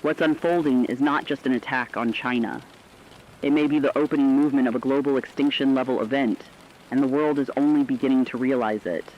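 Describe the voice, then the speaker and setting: A man playing a somber radio correspondent, reading a scripted disaster report in a serious, deliberate, ominous way. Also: medium pitch, fairly even intonation, highs cut off, slight background noise, lightly acted. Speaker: news correspondent.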